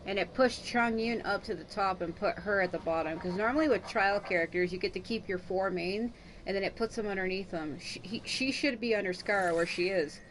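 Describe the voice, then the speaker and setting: A woman livestreaming a game, chatting casually in a rambling, explanatory way. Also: on the low side. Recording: noisy home livestream audio.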